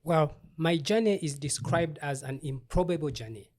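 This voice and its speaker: A middle-aged man from Uganda, an obstetrician-gynecologist, telling his life story in an interview in a thoughtful, measured way with small restarts. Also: on the high side, expressive, a little mellow.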